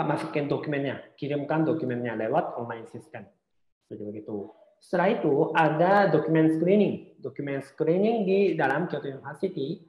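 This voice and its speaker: A man giving an informal online seminar presentation as a speaker on studying abroad, talking in a halting, reassuring way with frequent hesitant pauses. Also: fairly high pitch. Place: indoors, online seminar call. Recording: muffled, roomy online call audio.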